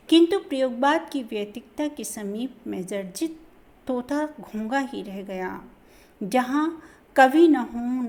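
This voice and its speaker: A woman lecturer reading a literature lesson aloud in a slow, deliberate formal manner, her voice dropping softly at the end of each sentence. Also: on the high side. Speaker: college Hindi lecturer.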